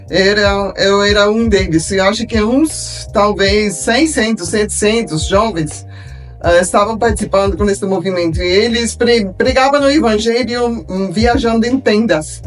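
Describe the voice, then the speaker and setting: An older woman from Belgium speaking with a foreign accent as an interview guest, telling her story haltingly in a learned language. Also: medium pitch. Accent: foreign accent in Portuguese, from Belgium. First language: a language of Belgium, not Portuguese. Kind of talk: personal storytelling in a podcast interview.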